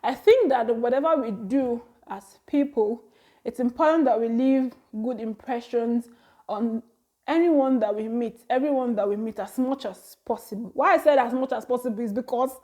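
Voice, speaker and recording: Nigerian woman, 30s, high voice, chatty vlogger who laughs a lot and exclaims. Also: moderate pace, dark tone. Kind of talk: casual talk to camera.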